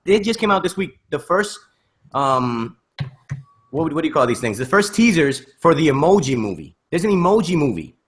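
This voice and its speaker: A man hosting a casual panel discussion, opinionated and animated, checking in with rhetorical questions over a video call. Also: a little high, normal speed. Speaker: podcast host.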